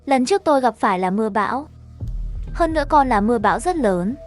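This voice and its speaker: A woman's voice: a little high, expressive, quick pace, faint music behind. A woman reading a scripted horror story, narrating and speaking all the characters' dialogue herself.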